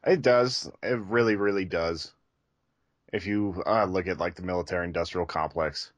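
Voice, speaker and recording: A man's voice: low-pitched, moderate pace, dry recording. A man co-hosting a podcast, talking casually about films. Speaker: podcast co-host reviewing films. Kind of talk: casual film discussion.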